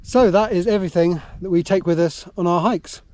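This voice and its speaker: A man's voice: high-pitched, brisk, a little mellow. An English man chatting casually and self-mockingly on a hike, filmed outdoors on a handheld camera. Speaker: vlogger. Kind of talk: casual vlog chat while hiking. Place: outdoors on a hill walk.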